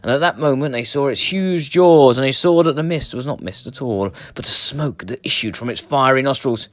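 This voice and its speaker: Male storyteller, medium pitch, dramatic expressive narration, children's tale, close dry sound. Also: normal speed, dry recording. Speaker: storyteller reading aloud.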